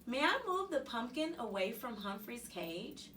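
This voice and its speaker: A woman voicing a shy, gentle schoolgirl from a storybook, soft and polite. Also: fairly high pitch, big pitch swings, unhurried, far from the mic, slight background noise, exaggerated voice.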